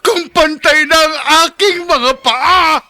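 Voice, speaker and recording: A man's voice: very high voice, slow pace, sharp tone, no echo, heavily acted. An actor playing an anguished elderly father neglected by his children, pleading reproachfully in a radio drama. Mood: bitter, pleading. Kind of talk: Tagalog radio drama acting.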